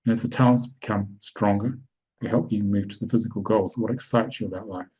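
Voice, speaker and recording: A man's voice: lowish voice, fairly even intonation, fairly quick, mellow, breathy edge. A man presenting a spiritual webinar, explaining step by step and often checking that his audience follows. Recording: dull lo-fi online call audio.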